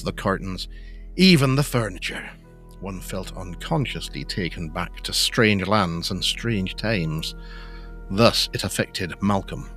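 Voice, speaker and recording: A man narrating a scripted gothic story in a measured storyteller's manner. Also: low-pitched, a bit bright.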